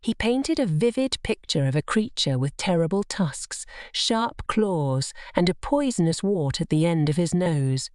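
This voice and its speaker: Low-voiced, expressive woman storyteller. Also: measured pace, hardly any pauses, smooth, dry recording, studio quality. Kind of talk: scripted children's story narration.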